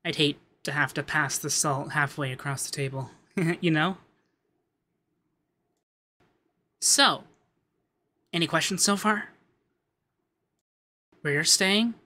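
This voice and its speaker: Soft, unhurried rich femboy acted by a man. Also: fairly high pitch, steady tone. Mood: friendly. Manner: soft-spoken, giggly, affectionate, hesitant fillers. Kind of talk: ASMR roleplay.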